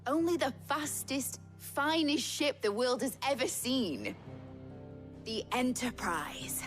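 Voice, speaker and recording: Young female engineer, Northern English accent, enthusiastic, colloquial, very animated pitch, game cutscene, music behind. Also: takes it slowly. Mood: proud, eager. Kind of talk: lively game cutscene acting.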